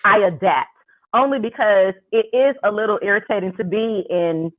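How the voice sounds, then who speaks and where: A man with a Southern US accent, a pole instructor, chatting relaxed on a panel over a video call. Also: sits high, moderate pace. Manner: relaxed, conversational. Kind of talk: casual panel discussion. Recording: dull lo-fi video call audio.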